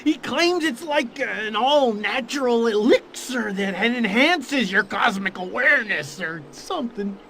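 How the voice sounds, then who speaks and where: High-voiced, jittery hippie stoner. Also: male voice, animated, unhurried, few pauses, bright, forceful tone, slight background noise, exaggerated voice. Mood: laid-back, gossipy. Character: nervous, mellow, gossipy male hippie stoner informant. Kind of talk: video game cutscene voice acting.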